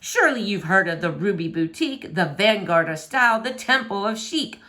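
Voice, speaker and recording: A woman's voice: mid-range voice, animated, bright, forceful tone. A woman voicing a vain, pompous prince in a rhyming storybook, haughty, theatrical and easily outraged. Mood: shocked, gushing. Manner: haughty, theatrical, exclaiming, drawn-out emphasis. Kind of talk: storybook read-aloud in rhyming verse.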